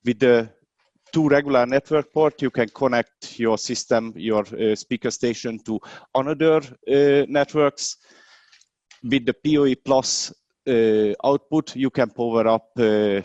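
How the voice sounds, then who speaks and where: A man who is a non-native English speaker demonstrates a product hands-on in a webinar, explaining step by step in a halting way. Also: mid-range voice, a little mellow, no echo. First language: not English. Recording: dull, dry webinar video sound.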